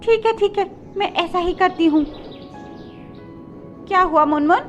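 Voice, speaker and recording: Woman doing a high-pitched, dismissive crow wife. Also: a little slow, dull audio, soft background music. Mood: grudging.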